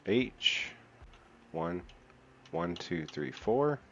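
Low-voiced man muttering over gameplay. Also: expressive, very slow, vocal fry, a bit bright, highs cut off, slight background noise. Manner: counts and mutters while building, self-talk. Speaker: gaming channel host. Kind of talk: casual let's-play commentary.

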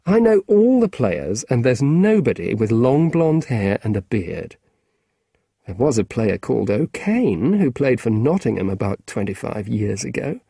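A blunt, exasperated grown man, as a male reader puts him on, his pitch swinging widely. The voice is husky and dark. It sounds dry and close. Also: big pitch swings, measured pace, no echo, exaggerated voice. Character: weary, blunt adult man, impatient with a boy.

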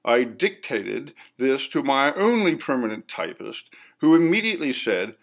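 Man for ghost story narration: formal, measured reader, animated intonation, some vocal fry. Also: mid-range voice. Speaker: audiobook reader.

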